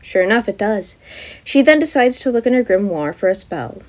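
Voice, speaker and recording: A woman's voice: mid-range voice, big pitch swings, moderate pace. A woman reading scripted story narration as a podfic narrator.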